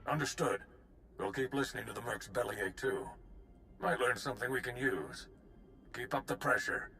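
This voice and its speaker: An actor playing a brisk, eager military scout officer in a video game, giving clipped field reports. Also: male voice, medium pitch, animated, vocal fry, sharp tone, faint music behind. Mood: brisk, upbeat. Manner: clipped radio-report delivery.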